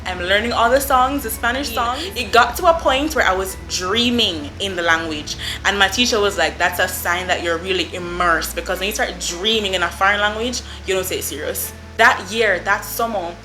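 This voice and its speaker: Young jamaican woman gushing through a storytime, bright voice, roomy echo. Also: medium pitch. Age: young adult. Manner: effusive, animated, lots of "I mean" and "like". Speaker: vlogger. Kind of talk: casual storytime.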